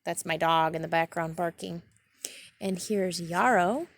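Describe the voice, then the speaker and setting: A woman's voice: on the low side, measured pace. A woman reading a picture book aloud like a teacher, adding simple, chatty explanations for children outdoors in a garden.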